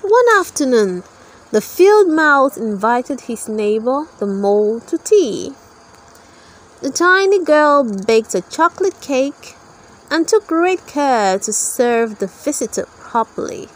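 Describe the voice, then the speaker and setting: A woman narrator reads a children's story aloud in a high voice. Her delivery is slow and scripted, with very lively intonation suited to toddlers. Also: low-quality recording.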